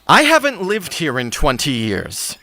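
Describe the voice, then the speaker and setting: A man's voice: on the high side, wildly swooping pitch, unhurried, bright, forceful tone, heavily acted. A man playing an aloof, irritable immortal uncle in a comic stage-style audio drama, curt and dismissive. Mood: irritated.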